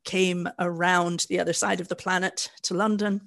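A middle-aged woman from Canada with a Canadian accent, co-hosting a podcast in a measured storytelling way with frequent fillers. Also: fairly low pitch, flat delivery, gravelly, a bit bright. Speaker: podcast co-host. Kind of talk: casual podcast chat.

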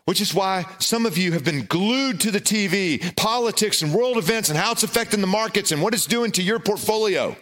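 Humorous, animated preacher man. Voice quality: loud voice. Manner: self-deprecating, humorous storytelling with big pitch swings. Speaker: pastor. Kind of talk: sermon with personal story.

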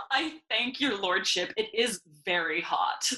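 A woman's voice: medium pitch, big pitch swings, takes it slowly, sharp tone, heavily acted. A woman playing a foppish, fawning courtier in a Shakespeare audio play, with gushing, affected, flowery delivery. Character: Osric, foppish, fawning, giggly royal courtier.

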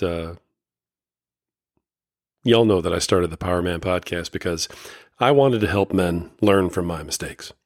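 Deep, slightly raspy man. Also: no room sound, clean studio sound. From Indiana, USA. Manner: folksy, earnest, many fillers and restarts. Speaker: podcast host and life coach. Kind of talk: casual solo podcast talk.

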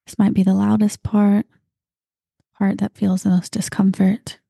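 Slow soft-spoken woman guiding meditation. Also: mid-range voice, no room sound, clean studio sound. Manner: slow, soft and calm, drifting into breathy whispers. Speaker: meditation guide. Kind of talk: guided meditation.

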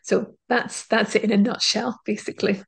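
A female linguistics professor answering interview questions in a thoughtful, explanatory way, with frequent pauses and restarts. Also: medium pitch, little pitch movement, vocal fry, breathy edge. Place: university in Scotland.

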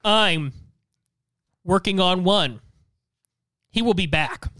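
High-voiced male podcast co-host. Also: lively intonation, slow pace, bright, forceful tone, dry recording, clean studio sound. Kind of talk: casual chat and comic comment reading.

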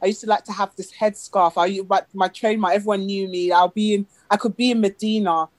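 Lively British woman telling stories. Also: medium pitch, a little fast, no echo. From England. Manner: lively storyteller, acts out quoted voices. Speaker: guest, animator. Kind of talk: casual interview chat.

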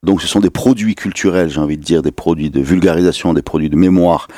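A man hosting a history discussion, lively and teasing, jumping in with quick interjections. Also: sits low, no room sound, clean studio sound. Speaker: host. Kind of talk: casual discussion.

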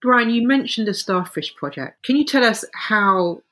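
A woman interviewer asking measured, gentle questions. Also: a little high, lively intonation.

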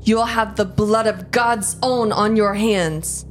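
A woman's voice: mid-range voice, fairly even intonation, slow, music in the background, natural voice. A woman playing a devoted, pleading wife of a Roman governor in a religious audio drama, earnest and imploring. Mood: distressed reproach.

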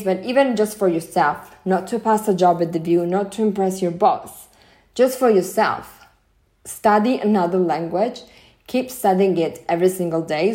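Italian accented young woman podcasting career tips, warm dark tone, kinda rambly and self-correcting. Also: fairly low pitch. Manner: earnest, chatty, frequent restarts and self-corrections. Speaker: podcast host. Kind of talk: solo podcast advice talk.

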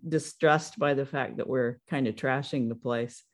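A man, a voice actor, talking casually in an interview, modest and halting, with many restarts and fillers. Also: mid-range voice, steady tone. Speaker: voice actor guest.

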